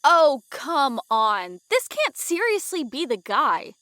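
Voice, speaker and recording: Woman playing a high, bright, sassy adventurer. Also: very animated, takes it slowly, no room sound, heavily acted. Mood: skeptical. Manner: skeptical, exasperated, blunt. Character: skeptical, sassy female wildling adventurer. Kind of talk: fantasy audio drama acting.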